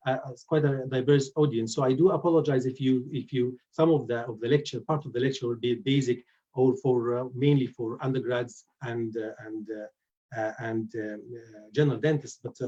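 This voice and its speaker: A man's voice: medium pitch, steady tone, brisk, warm tone. A man, giving a lecture as an oral surgeon with many fillers and restarts. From Egypt. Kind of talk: webinar lecture. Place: indoors at home. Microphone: computer mic on a video call. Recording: dull lo-fi video call audio.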